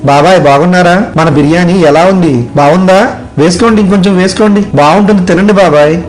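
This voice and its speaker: A man playing a kind, hardworking village eatery owner in an animated moral story, polite and obliging. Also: high-pitched, brisk, faint music behind, natural voice, poor audio. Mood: friendly, obliging.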